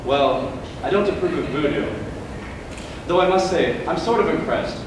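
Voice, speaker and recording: A man's voice: fairly high pitch, lively intonation, moderate pace, close to an everyday voice. A male student actor playing a mild, fretful middle-aged man in a live stage comedy. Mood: hesitant, mild. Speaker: student actor in a school one-act play. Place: large hall, recorded far from the stage. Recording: distant, echoey stage recording with loud noise.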